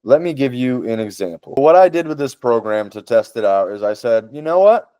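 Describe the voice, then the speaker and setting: A man's voice: medium pitch, lively intonation, a little fast, warm tone, no room sound, dull audio. A man with a Boston accent, a podcast host walking through social media tools in casual talk. He speaks with enthusiasm and repeats words for emphasis.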